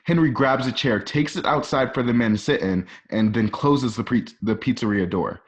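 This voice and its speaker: A man's voice: medium pitch, normal speed. A man reading out stage directions as the narrator of a radio play, in present-tense screenplay style. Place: at home. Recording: slightly dull home recording.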